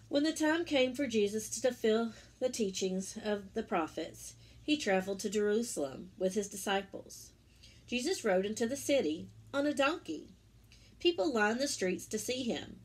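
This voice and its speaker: Husky-voiced woman reading a children's story aloud. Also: medium pitch. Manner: simple explanatory asides addressed to young listeners. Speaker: children's story-time reader and teacher. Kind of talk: read-aloud of a children's religious picture book with asides.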